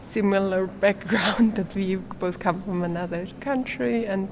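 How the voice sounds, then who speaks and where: Slow, halting young woman from Switzerland. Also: mid-range voice, fairly even intonation, a little hiss or hum. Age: young adult. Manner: hesitant, searching for words, with small laughs. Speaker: performance artist, art student being interviewed. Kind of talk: casual interview in non-native English.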